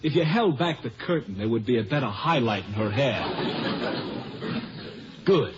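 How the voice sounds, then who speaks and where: Actor as brisk young photographer, noisy old radio. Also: male voice, mid-range voice, dull audio, natural voice. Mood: brisk directing. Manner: polite but bossy, flattering. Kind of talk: 1950s radio comedy acting.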